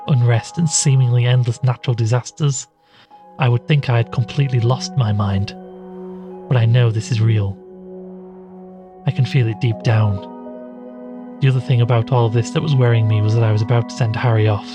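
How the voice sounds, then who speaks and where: A man's voice: medium pitch, steady tone, normal speed, close to an everyday voice. A man playing an anxious podcaster in an audio drama, confiding and earnest as he narrates. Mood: uneasy, resolute. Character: anxious male podcaster investigating an occult mystery. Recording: music behind the voice.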